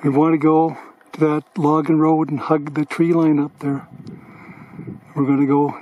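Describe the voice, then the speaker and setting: Guy behind the camera pointing out the views, bit of a high voice, faint outdoor noise. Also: little pitch movement, unhurried, highs cut off. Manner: plain, matter-of-fact pointing out of sights. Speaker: camera operator on the hike. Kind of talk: casual narration behind the camera. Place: outdoors on a mountain forest road. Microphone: camera mic, speaking from behind it.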